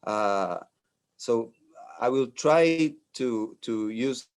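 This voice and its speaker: A Brazilian architect in his forties giving a talk in English as a second language, halting with frequent fillers and restarts. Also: mid-range voice, lively intonation, smooth. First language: Portuguese. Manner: hesitant, many fillers and restarts. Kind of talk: lecture presentation.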